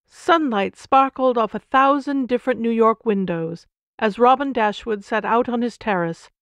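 A woman's voice: medium pitch, dry recording. Lady author narrating her book, dry close sound, bit lo-fi. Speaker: author reading from own novel. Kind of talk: scripted audiobook-style narration.

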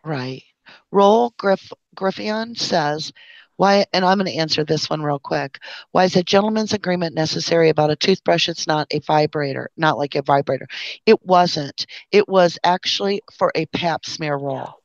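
A woman's voice: low-pitched, normal speed, no room sound. A woman hosting a live chat discussion, reading out questions and passing them to the panel. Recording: dull lo-fi online call audio.

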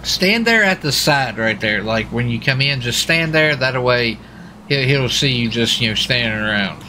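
A man with a bright, forward voice talks casually as he roleplays in a video game. His speech is informal and peppered with folksy exclamations, with faint game ambience behind him. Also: medium pitch, lively intonation, normal speed, a little hiss or hum. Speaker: gamer roleplaying a US marshal on stakeout.

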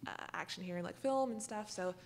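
A woman's voice: mid-range voice, brisk, creaky, a little hiss or hum. A young woman student answering questions on a panel in a lecture hall. Her voice sits mid-range and her talk is casual, with many "like"s. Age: young adult. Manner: casual, uses "like" a lot.

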